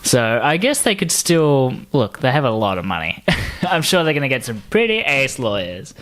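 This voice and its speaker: A man co-hosting a podcast in casual banter, excitable and sweary, often mock-indignant. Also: mid-range voice, moderate pace. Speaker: podcast co-host.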